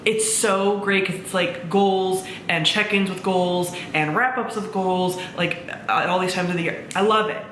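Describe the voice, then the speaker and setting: Bright-voiced, enthusiastic woman chatting casually. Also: lowish voice, fairly even intonation, measured pace, few pauses. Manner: enthusiastic, informal with swearing and frequent "like". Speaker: book vlogger, presenter of own channel. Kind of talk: casual chatty vlog talk to camera. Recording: roomy echo, faint background noise.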